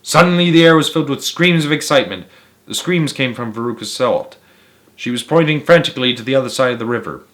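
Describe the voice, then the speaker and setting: Male read-aloud narrator for a children's book: mid-pitched, dramatic, emphatic. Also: quick pace, a bit bright. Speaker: storyteller, host of a read-aloud series. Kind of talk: children's audiobook-style narration.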